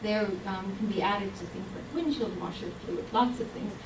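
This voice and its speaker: A woman's voice: medium pitch, normal speed, background noise. Woman giving a health talk in an echoey hall. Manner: earnest, explanatory, with frequent ums. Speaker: health speaker. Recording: roomy hall mic from a distance.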